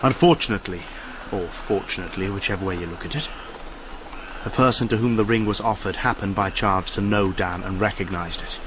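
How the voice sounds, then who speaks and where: A sober military man acted by a man speaks in radio-drama dialogue, low and measured, explaining things carefully. The recording is clearly noisy. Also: close to an everyday voice. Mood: sober, confiding. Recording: noisy old radio broadcast.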